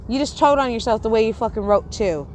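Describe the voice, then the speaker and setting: A woman's voice: fairly high pitch, expressive, fast, darkish tone, a little hiss or hum. A woman who stutters and has a lisp, ranting angrily at her livestream chat, swearing and restarting her sentences. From Texas. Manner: angry, swearing, repeats and restarts, confrontational. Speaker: YouTuber on a livestream. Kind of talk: heated livestream rant to chat.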